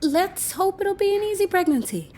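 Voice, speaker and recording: A woman's voice: extremely high pitch, lively intonation, normal speed, a little mellow. A woman acting as a flippant, unapologetic partner in an audio drama, casual and wry with mock sympathy.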